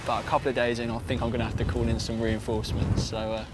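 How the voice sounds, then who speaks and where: A man's voice: mid-range voice, little pitch movement, fast, background noise. Easygoing young man in his twenties. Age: 20s. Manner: easygoing, modest, laughing at himself. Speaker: architecture student and builder. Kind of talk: casual conversation. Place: workshop and outdoor yard.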